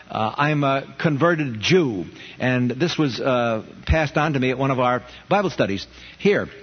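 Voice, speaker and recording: A man's voice: medium pitch, slightly rough. A man from Brooklyn, earnestly answering listener questions in a conversational Bible study talk. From Brooklyn, New York. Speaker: ministry leader answering questions. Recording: dull, reverberant radio broadcast.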